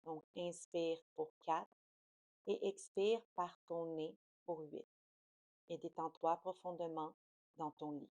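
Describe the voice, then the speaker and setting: Mellow, low-voiced woman guiding relaxation. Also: steady tone, no room sound. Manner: soothing, slow, unhurried instruction. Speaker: yoga nidra guide. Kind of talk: guided relaxation meditation.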